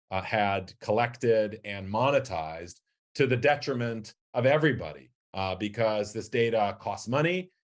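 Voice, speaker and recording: Middle-aged guy, kinda low voice, podcast guest explaining stuff in a measured formal way. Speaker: company chief executive, podcast guest. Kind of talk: explanatory business interview answers.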